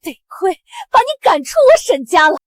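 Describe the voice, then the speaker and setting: A woman's voice: extremely high pitch, big pitch swings, normal speed, no echo. An actress playing a spiteful young noblewoman who sneers and gloats as she disowns a relative. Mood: gloating. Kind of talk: short-drama acting.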